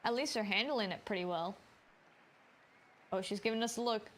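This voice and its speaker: A woman's voice: medium pitch, normal speed, fairly bright tone, a little hiss or hum. A woman streamer giving casual commentary as she plays a video game. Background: faint game music.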